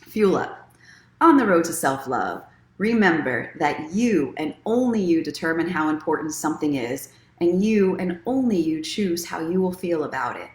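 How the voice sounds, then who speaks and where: A woman's voice: sits low, lively intonation, normal speed, reverberant. A woman hosting an online story time, reading aloud expressively and chatting casually in an encouraging, conversational self-help tone. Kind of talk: expressive reading aloud from own book, mixed with casual livestream chat.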